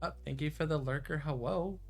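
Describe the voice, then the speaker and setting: A man's voice: a little high, fairly quick, creaky. A man livestreaming in a playful manner, switching between casual chat and reading visual novel narration aloud. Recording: music under the voice.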